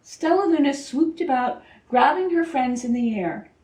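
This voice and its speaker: A woman narrating a children's story in an expressive, careful reading style. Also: high voice, normal speed. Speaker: storybook reader. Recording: echoey room, distant microphone.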